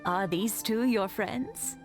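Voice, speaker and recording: A man voicing a kindly elderly woman in a game, slow and doting. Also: sits high, pitched above the performer's own voice. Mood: welcoming. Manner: slow, doting, calls people dear. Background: game music.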